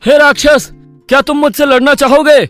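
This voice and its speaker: A man voicing a brave, determined villager in an animated story, speaking in an earnest, rallying, declamatory way. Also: very high voice, normal speed, no room sound, soft background music. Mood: urgent. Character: brave, determined male village friends defying a demon.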